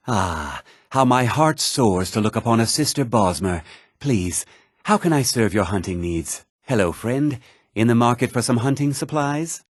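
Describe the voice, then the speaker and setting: A self-important townsman from a fantasy video game. His husky voice swings widely in pitch and is recorded close and dry. He talks with theatrical, smug condescension. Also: no echo. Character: haughty, self-important male townsman and merchant of a fantasy world. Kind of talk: video game character dialogue.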